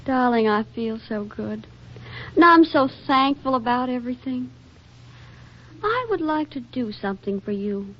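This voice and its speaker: Devoted housewife, high-pitched, tender, a little insecure, vintage radio drama, muffled sound. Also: female voice, expressive, unhurried. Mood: tender, earnest. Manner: tender, earnest. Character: sweet, devoted, slightly insecure young housewife. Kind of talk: 1940s radio drama acting.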